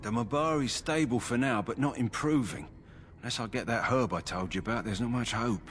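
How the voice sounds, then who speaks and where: A man's voice: mid-range voice, a little fast, fairly bright tone, a little hiss or hum, close to an everyday voice. A man voicing a kind, practical kennel master who speaks plainly and with dry humor. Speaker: game character.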